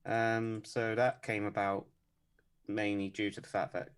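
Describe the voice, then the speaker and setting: Low flat-voiced guy, deadpan, chatting on a podcast, lots of ums. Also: clear, resonant tone. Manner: measured, lots of ums and hedges. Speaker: animator and podcast guest. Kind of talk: casual interview chat.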